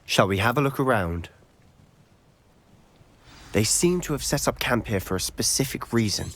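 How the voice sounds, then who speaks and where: An actor with an English accent playing an earnest, determined teenage schoolboy wizard in a video game, speaking in an articulate, dramatic way. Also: male voice, mid-range voice, animated, normal speed, a bit bright. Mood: thoughtful, determined. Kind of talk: scripted video game dialogue.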